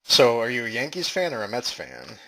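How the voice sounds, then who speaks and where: A man's voice: mid-range voice, wildly swooping pitch, brisk, vocal fry, fairly bright tone, poor audio. A man acting as an interviewer in a comedy skit, asking earnest, formal questions. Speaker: mock interviewer. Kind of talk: improvised mock interview.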